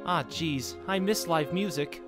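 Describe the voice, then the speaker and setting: A man's voice: a little high, unhurried, fairly bright tone, soft background music. A man playing an eager, gee-whiz young son in a scripted radio ad. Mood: eager, curious. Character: excitable young boy, curious son in a cheesy ad.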